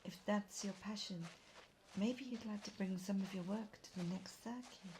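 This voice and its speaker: A woman's voice: medium pitch, normal speed, darkish tone, lightly acted. Woman acting a chatty, welcoming guide, noisy backdrop. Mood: friendly, welcoming. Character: Summer, friendly young woman guide from another world. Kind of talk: roleplay audio drama addressed to the listener. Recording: clear background noise.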